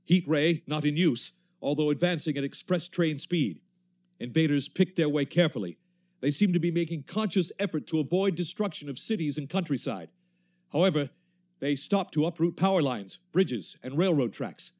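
A high-pitched, clipped radio newsman. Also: animated, normal speed, a little mellow. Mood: urgent, formal. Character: urgent male radio news announcer reading war bulletins. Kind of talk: 1930s radio drama news bulletin.

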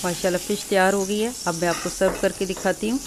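A woman from Pakistan hosting a cooking channel, calmly explaining each step of a recipe in a voice-over. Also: medium pitch, fast, noisy background. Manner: calm, instructive, explains each step plainly.

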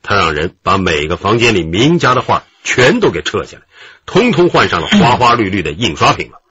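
Husky low-voiced veteran police chief. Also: male voice, sing-song, pushed and bright, dry recording, full character voice. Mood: animated recounting. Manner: chatty, deliberate, with drawn-out interjections. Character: veteran male police detective chief, genial, reminiscing, modest. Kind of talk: radio drama acting. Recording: muffled, dull radio drama recording.

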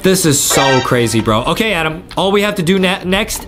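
A man's voice: a little high, expressive, brisk, over music. A young American man hosting gameplay commentary in a hyped, exclamatory, slangy way. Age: young adult. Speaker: gaming YouTube host.

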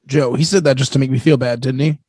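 A man's voice: mid-range voice, fairly even intonation, fast talker, darkish tone, husky, dry recording, clean studio sound, natural voice. A man playing a simple, gullible police sidekick, plaintive and rambling, in a comic radio drama.

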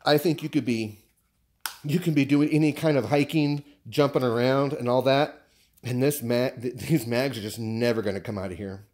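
A man with an American accent chatting casually and haltingly, thinking aloud as he shows off purchases in a living room. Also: medium pitch, steady tone, a little mellow. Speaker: vlogger showing purchases. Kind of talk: casual unboxing chat.

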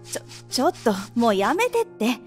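A woman's voice: fairly high pitch, sing-song, moderate pace. A woman voicing a female pet cat who is frank and teasing but devoted, in an audio drama. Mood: scolding. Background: soft background music.

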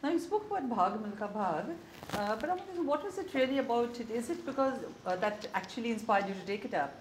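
An older woman from India with an Indian English accent, hosting an interview with brisk, direct questions. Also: fairly high pitch, expressive, a little hiss or hum, poor audio. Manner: brisk questions, short affirmations. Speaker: interviewer host. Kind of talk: conversational interview. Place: small warm-lit room.

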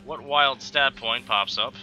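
A man with a light Texan accent talks his way through a video game into a headset, chatty and quick to exclaim. His voice is sharp and bright, middling in pitch, with faint game music underneath. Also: rough recording. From Texas. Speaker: gaming channel host. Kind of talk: casual gameplay commentary.

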